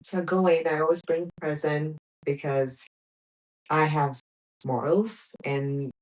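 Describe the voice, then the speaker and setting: A woman's voice: sits low, fairly even intonation, a little slow, darkish tone, low-quality recording. A young woman from Greece, hosting a podcast and telling a personal story in a candid, emphatic way, repeating words for stress. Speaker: podcast host.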